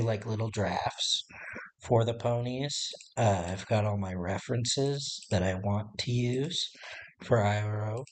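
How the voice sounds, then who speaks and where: Slow, raspy-voiced man chatting while drawing. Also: on the low side. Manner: rambling and hesitant, talking to himself while working. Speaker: artist livestreaming his drawing. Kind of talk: casual live-stream chat while drawing. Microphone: desk microphone.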